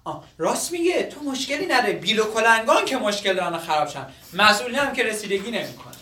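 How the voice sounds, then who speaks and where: An actor playing a playful, patient young man who looks after an old man, animated and teasing, in a naturalistic drama. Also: sits high, full character voice. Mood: coaxing. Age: young adult. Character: playful, patient young male caregiver and son-in-law-to-be. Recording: echoey, noisy room, distant mic.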